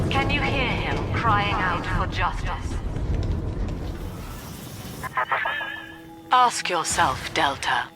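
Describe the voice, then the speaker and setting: An actress playing a cold, composed cult leader and psychiatrist villain in a video game, speaking in grave, precisely articulated, preaching monologues. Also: female voice, low-pitched, bright, forceful tone, full character voice. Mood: solemn accusation.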